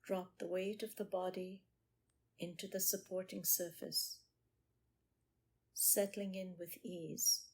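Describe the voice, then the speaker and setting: A woman meditation teacher leading a guided meditation in short, measured phrases with pauses between them. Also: lowish voice, little pitch movement, a little mellow, a little hiss or hum.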